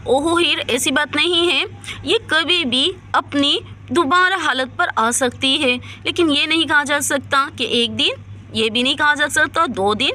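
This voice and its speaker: A woman's voice: sits high, pushed and bright. A woman voicing a concerned, authoritative female doctor in a dramatised story, explaining firmly and reassuringly. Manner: instructive, emphatic, reassuring.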